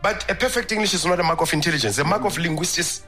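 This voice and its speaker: Bright-voiced man giving an emphatic speech. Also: a little high, racing, faint music behind. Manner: emphatic, repetitive lecturing. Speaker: public speaker. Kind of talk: persuasive speech.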